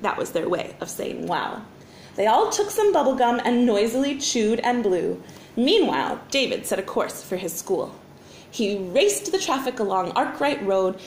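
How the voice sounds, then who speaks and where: A woman teacher reading a children's story aloud in an expressive, dramatic way. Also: fairly high pitch. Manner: animated, dramatic, acts out sound effects. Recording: roomy echo, faint background noise.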